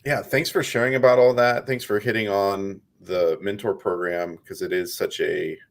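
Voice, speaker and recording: A man with a slightly low voice, hosting a casual interview show. He talks in an easygoing way and leans on fillers as he thinks. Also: steady tone, normal speed, vocal fry. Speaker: podcast host.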